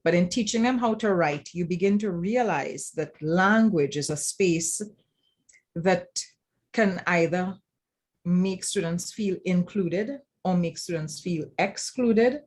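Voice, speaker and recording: A woman's voice: fairly low pitch, slow pace, a little mellow. A woman with a Trinidadian accent speaking as a lecturer at an informal roundtable, explaining reflectively and often checking in with "right?". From Trinidad and Tobago. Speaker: academic writing lecturer, panel speaker.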